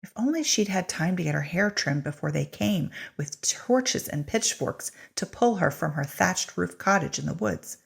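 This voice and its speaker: A woman giving an expressive, wry scripted narration of a story in a husky, creaky voice. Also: on the low side, normal speed, hardly any pauses. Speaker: audiobook-style reader of a short story.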